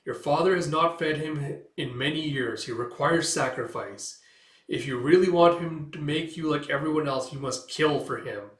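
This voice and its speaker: A man's voice: a little high, moderate pace, fairly bright tone, natural voice. Man voicing a solemn, sinister giant rat emissary. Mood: stern, demanding. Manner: solemn, commanding. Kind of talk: comic book read-aloud. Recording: echoey room.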